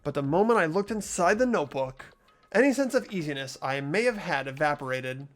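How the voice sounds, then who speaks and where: A person reading visual novel narration and dialogue expressively, voicing an earnest, anxious high school student. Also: lively intonation, brisk, faint music behind. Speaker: player reading a video game's lead role aloud.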